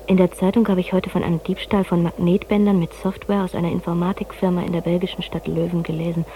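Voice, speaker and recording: A woman playing a composed letter writer in a radio drama, speaking in a measured, articulate way. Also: on the low side, level tone, mellow, natural voice. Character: woman reading her letter to a friend, composed. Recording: faintly noisy cassette recording.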